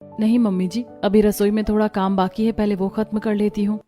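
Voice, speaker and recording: A woman's voice: medium pitch, level tone, fairly quick, mellow, faint music behind, lightly acted. A woman voicing a meek, wounded young bride in a Hindi cartoon story, plaintive and polite.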